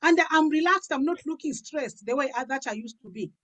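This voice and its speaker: High-voiced, emphatic woman in her fifties. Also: expressive, a little fast, a bit bright, muffled recording. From Africa. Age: 50s. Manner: emphatic, repeats phrases for stress. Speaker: pastor giving a health and business testimony. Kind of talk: personal testimony in a conversational talk.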